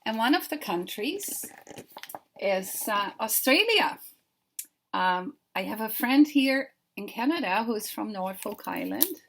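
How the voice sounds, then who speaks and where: Dutch-accented older woman with a gravelly voice. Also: medium pitch, animated. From Netherlands. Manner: warm, earnest storytelling, slow and deliberate. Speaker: children's book author. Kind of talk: recorded video greeting to a festival.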